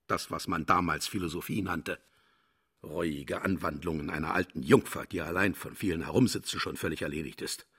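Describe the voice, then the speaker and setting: A man's voice: deep voice, moderate pace, a bit bright, husky, heavily acted. A man playing a vain, contemptuous philosopher in a radio play, declaiming and lecturing grandly. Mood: boastful, scornful. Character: pompous, cynical male philosopher, vain and contemptuous. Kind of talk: German radio play acting.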